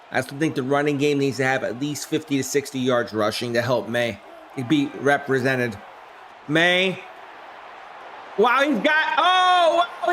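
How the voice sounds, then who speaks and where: A man with a hoarse, flu-worn voice calling a video game football simulation play by play on a live stream, shouting on big plays and chatting with his viewers. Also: mid-range voice, a little hiss or hum.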